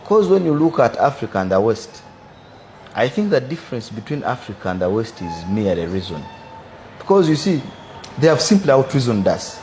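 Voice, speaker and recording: Ugandan man in his twenties, measured. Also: medium pitch, big pitch swings, darkish tone, a little hiss or hum. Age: 20s. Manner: measured, philosophizing, repeats "for me, I believe". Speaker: businessman guest. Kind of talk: interview answers.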